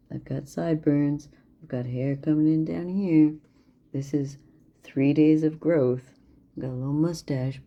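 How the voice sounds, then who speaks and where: A man's voice: mid-range voice, vocal fry. A tired young man talking candidly in a casual video diary, rambling with lots of fillers. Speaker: vlogger. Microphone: camera propped near a plant. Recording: dull lo-fi camera audio.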